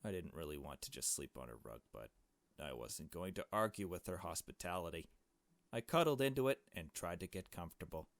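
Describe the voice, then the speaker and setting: A man's voice: sits low, animated, a little fast, no room sound, voice pushed down. A man voicing a curious, earnest small girl who narrates and asks questions in a visual novel game. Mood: reflective. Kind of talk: visual novel dialogue read aloud.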